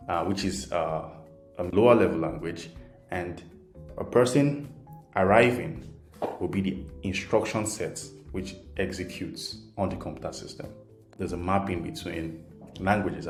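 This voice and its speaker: A man with a Nigerian accent, a native Yoruba speaker, gives an informal, patient programming tutorial, keeping things simple and often checking in with "right?". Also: low voice. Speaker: programming instructor. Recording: roomy echo, faint music underneath.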